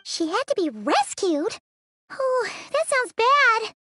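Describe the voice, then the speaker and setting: A woman voicing a small, chatty fairy girl companion in a video game, childlike and squeaky. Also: very animated, takes it slowly, sharp tone, heavily acted. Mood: worried.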